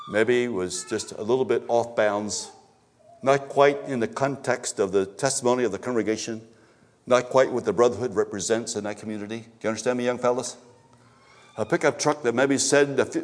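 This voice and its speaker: A man preaching a sermon at a church service, swinging from emphatic exhortation to low, stammered asides. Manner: rises to emphatic exhortation, then drops low, with stammered repetitions.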